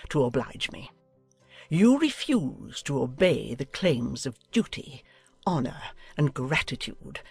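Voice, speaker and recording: Woman playing a haughty, slow-spoken older noblewoman. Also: very deep voice, creaky, darkish tone, slightly rough, dry recording, pitched below the performer's own voice, exaggerated voice. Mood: imperious indignation. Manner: pompous, emphatic, commanding. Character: haughty, domineering older aristocratic English lady, outraged and imperious. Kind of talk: dramatized audiobook acting.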